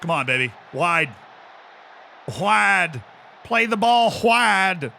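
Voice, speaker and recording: An American man live-streaming a game, commenting excitedly, shouting at big moments and cracking jokes in between. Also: high voice, slow, vocal fry, slight background noise. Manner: excitable, shouts at goals, jokey asides.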